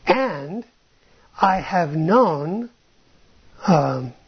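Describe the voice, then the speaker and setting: Slow husky bible teacher thinking out loud, keeps asking "right?", dull lo-fi sound. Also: medium pitch, lively intonation. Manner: ends points with a questioning "right?"; restarts phrases, thinks aloud. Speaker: Bible teacher answering listener questions. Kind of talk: informal teaching talk.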